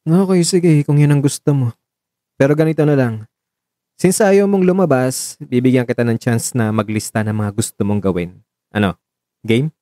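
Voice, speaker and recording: A man's voice: medium pitch, normal speed, pauses often, warm tone, no echo, clean studio sound, lightly acted. A man with a Filipino accent acting a devoted, protective young man in a radio drama, reassuring his lover with affectionate mock scolding. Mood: playful, earnest.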